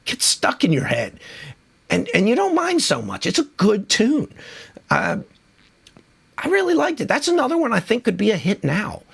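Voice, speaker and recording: A man's voice: sits high, wildly swooping pitch, normal speed, creaky, a bit bright, slightly rough. A man who is losing his voice from a cold, hosting a casual music countdown in a chatty, enthusiastic, rambling way. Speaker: music video host.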